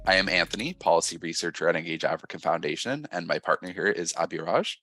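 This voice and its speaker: A man's voice: deep voice, fast, sharp tone, soft background music. A man moderating a panel discussion, reading the introduction hesitantly with many fillers. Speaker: panel moderator, policy researcher.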